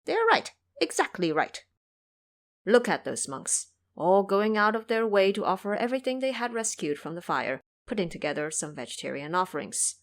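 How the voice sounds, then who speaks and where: A woman's voice: mid-range voice, animated, a little fast, hardly any pauses. A woman narrating a scripted story as a storyteller.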